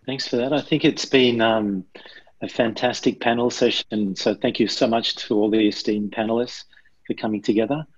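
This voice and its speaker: A middle-aged man giving closing remarks as host on a video call, thankful and halting. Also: on the low side, steady tone, slightly rough, no room sound. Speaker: host of the summit. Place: home office. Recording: dull dry video call audio.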